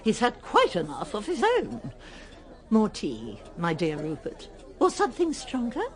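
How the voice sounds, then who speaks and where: Radio drama role: genteel, managing society hostess, very animated intonation. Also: female voice, medium pitch, measured pace. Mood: chiding, gracious.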